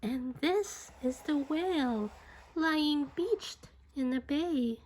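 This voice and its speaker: A woman reading a children's book aloud as expressive rhyming narration, deliberate and singsong, drawing out words. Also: sits high, slight background noise, low-quality recording.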